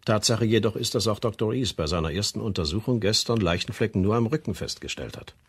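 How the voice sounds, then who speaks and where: Male forensic pathologist for radio crime drama: low, husky, precise and sceptical. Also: lively intonation. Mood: clinical, firm. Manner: measured, clinical, explanatory.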